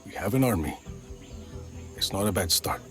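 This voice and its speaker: A man's voice: lowish voice, lively intonation, moderate pace, soft background music. A man voicing a game's action hero, speaking tersely with dry determination. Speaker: game protagonist. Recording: game cutscene over loud score.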